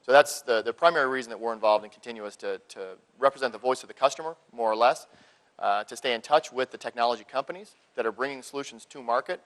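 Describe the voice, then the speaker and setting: A man speaking as a healthcare industry panelist, presenting publicly in deliberate, emphatic phrases. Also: medium pitch, fairly bright tone.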